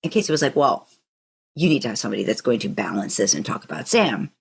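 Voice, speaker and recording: A woman's voice: very deep voice, very animated, fast, vocal fry, breathy edge. A woman co-hosting a podcast, telling stories casually in an enthusiastic, rambling way. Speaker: podcast co-host. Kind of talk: casual conversational storytelling.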